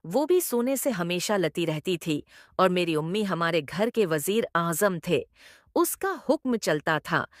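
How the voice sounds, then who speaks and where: A woman's voice: lowish voice, no echo, studio quality. A woman reading a scripted first-person story as a narrator.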